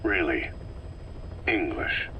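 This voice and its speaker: A man's voice: sits low, animated, very slow, lo-fi sound, slight background noise, exaggerated voice. A man playing a stoic military commander in a video game, speaking in clipped, laconic phrases. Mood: stoic, probing. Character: terse, stoic male soldier commander of few words. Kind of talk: scripted video game cutscene dialogue.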